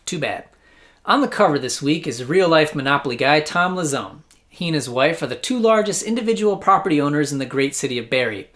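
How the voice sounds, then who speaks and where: A man's voice: on the high side, animated, brisk, a bit bright. An American man hosting a satirical news show, delivering scripted comedic commentary in a sarcastic, mock-incredulous way.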